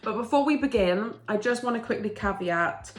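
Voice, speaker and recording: A woman's voice: fairly high pitch, animated, normal speed, reverberant. A woman with a blocked nose from a cold chats eagerly as a book vlogger, gushing about her recent reads. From England. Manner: gushing, enthusiastic, chatty. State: congested from a cold. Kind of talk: casual book review chat.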